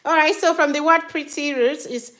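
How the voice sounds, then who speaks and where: A woman's voice: high voice, steady tone, normal speed, fairly bright tone. A middle-aged woman with a Nigerian accent, chatting casually to viewers as she does her daughter's hair at home, laughing often. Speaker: hair care vlogger and mother. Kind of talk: casual tutorial chat to viewers.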